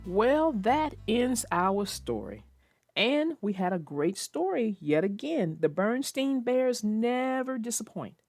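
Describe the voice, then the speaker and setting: Slow, very expressive woman storyteller. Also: a little high, no echo, faint music behind. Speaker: children's book narrator. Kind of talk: expressive storybook read-aloud with informal commentary.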